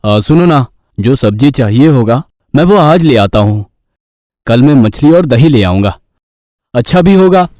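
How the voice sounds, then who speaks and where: A man's voice: medium pitch, expressive, a little slow, a little mellow, no room sound, clean studio sound, close to an everyday voice. A man voicing an exasperated, grumbling village father in a Hindi cartoon story, scolding and doubtful. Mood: eager.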